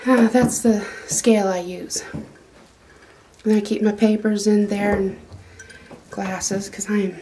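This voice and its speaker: Slow, mellow farm woman. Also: mid-range voice, fairly even intonation, a little hiss or hum. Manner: rambling, homespun, many fillers and restarts. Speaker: goat farmer giving a barn tour. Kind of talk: casual walkthrough narration. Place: small wooden barn, indoors. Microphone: handheld phone camera.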